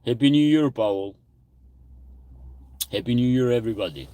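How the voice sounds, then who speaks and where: A man's voice: medium pitch, expressive, normal speed, mellow, no echo. A man hosting a casual livestream, greeting viewers by name and answering their comments aloud. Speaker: livestream host.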